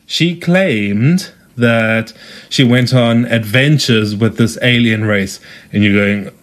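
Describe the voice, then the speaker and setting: A man with a South African accent, hosting a solo podcast in a chatty, joking way with quick asides. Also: medium pitch. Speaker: podcast host. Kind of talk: casual solo podcast talk.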